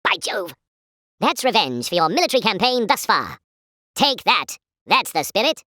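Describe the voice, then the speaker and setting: Casting: a jolly British 1940s newsreel announcer for a war game, pompous and patriotic, bright forceful tone, swooping theatrical delivery. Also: female voice, medium pitch, big pitch swings, moderate pace, dry recording, clean studio sound. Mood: jolly, rousing. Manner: pompous, formal, chipper propaganda delivery.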